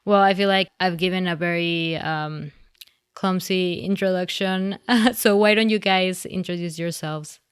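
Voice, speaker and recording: A woman's voice: fairly low pitch, steady tone, a little slow, clean tone, no echo, studio quality. A woman hosting a podcast, introducing her guests in a loose, friendly, laughing way. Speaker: podcast host. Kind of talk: casual interview.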